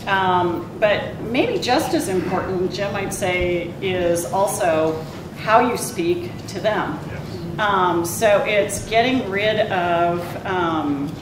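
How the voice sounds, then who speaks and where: A woman executive speaking as a panelist, frank and conversational. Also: slow pace. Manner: frank, conversational, laughs at herself. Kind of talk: panel discussion remarks. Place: large university event hall. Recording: echoey hall, distant mic, background rumble.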